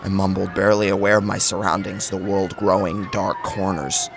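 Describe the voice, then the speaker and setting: Low, gravelly man narrating horror, intense. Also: huge pitch swings, moderate pace, noisy background. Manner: intense, emotive delivery. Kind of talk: dramatic first-person horror story narration. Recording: music behind the voice.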